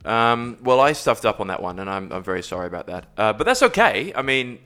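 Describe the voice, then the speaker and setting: Man, bright edgy tone, lowish voice, playful and loose, faint music behind. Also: very animated, a little fast. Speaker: podcast co-host. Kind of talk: comedic podcast banter. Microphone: studio microphone.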